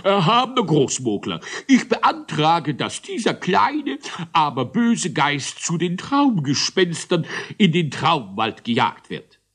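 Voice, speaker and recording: A man's voice: fairly high pitch, very animated, takes it slowly, a bit bright, breathy edge, voice pushed up, exaggerated voice. A man voicing a pompous, vindictive little creature judge in a children's story, thundering through a stuffed-up nose. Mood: stern. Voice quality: nasal, stuffed-up. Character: pompous, fat-nosed, vindictive male goblin-like judge with a cold.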